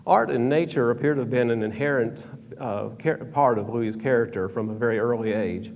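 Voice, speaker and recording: A man's voice: medium pitch, brisk, a little mellow. A man presenting a prepared history talk in a hall, reading from a script with small stumbles and restarts. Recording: reverberant hall, faint background hum.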